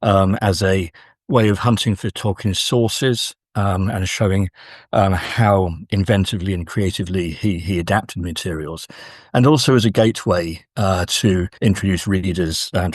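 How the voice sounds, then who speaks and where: A man speaking as an academic interview guest, explaining in measured, halting phrases with frequent pauses. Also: sits low, no echo, studio quality.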